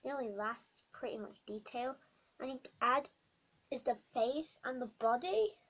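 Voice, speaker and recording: A young girl with a bad cold and a croaky voice, chatting through a craft tutorial vlog in a small bedroom, rambling with many ums. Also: fairly high pitch, normal speed, rough recording. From not American. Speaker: craft vlogger. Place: small dim bedroom indoors.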